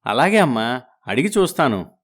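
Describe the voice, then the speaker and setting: A man's voice: medium pitch, big pitch swings, moderate pace. A man playing an evasive young husband. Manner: soothing, evasive. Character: young husband torn between wives, evasive.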